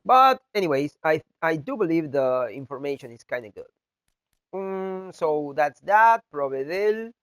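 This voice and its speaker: A man with a stuffy, nasal voice from the flu talks through a video game tutorial in his second language. His pitch sits a little high and his pace is very slow, stopping and restarting often with fillers. He is close to the mic in a dry, deadened room. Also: animated, fairly bright tone, clean tone, no echo. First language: not English. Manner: halting, many fillers and restarts. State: has the flu, congested. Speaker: video game content creator. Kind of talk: casual gameplay tutorial talk.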